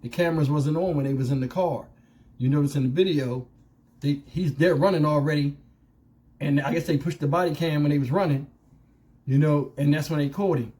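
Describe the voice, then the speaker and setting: A man's voice: on the high side, a little fast, mellow. A man giving casual opinion commentary as a channel host, speaking colloquially and conversationally with rhetorical questions.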